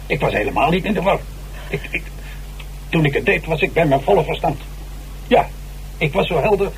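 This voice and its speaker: An actor playing an ageing, self-dramatising stage actor jailed for murder, halting and theatrical, in a radio drama. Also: male voice, on the high side, lively intonation, vocal fry, poor audio. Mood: indignant, agitated. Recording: hissy old radio recording.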